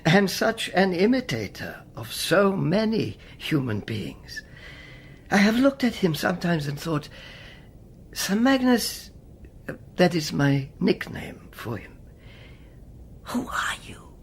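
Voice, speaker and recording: A man's voice: high-pitched, very animated, slow, slight background noise, exaggerated voice. An actor playing an older male Czech spymaster in a radio drama, courteous and persuasive, often dropping to near a whisper. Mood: musing, wistful.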